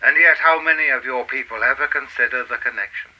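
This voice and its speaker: An actor playing an enigmatic, otherworldly male teacher in a radio play, speaking in a calm, cryptic, formal and measured way. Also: mid-range voice, dull audio, natural voice. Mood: cryptic, lofty.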